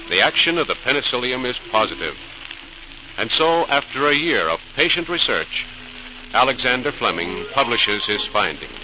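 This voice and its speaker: A man's voice: medium pitch, poor audio. A man with an American accent delivering emphatic, declamatory scripted narration in a 1940s radio style. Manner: declamatory, emphatic, measured phrasing. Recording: old transcribed radio recording. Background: music.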